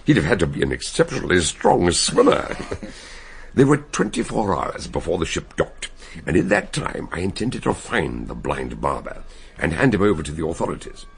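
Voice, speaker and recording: An actor playing a genial, chuckling detective who also narrates a radio drama, with a gravelly, husky voice. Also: male voice, low voice, wildly swooping pitch, moderate pace, exaggerated voice. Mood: amused. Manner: genial, chuckling, fond of long words. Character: Dr Gideon Fell, jovial older male detective narrator.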